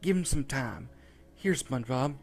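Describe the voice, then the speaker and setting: Calm female squirrel, soothing and gentle, read by a man. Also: medium pitch, normal speed, gravelly, faint music behind.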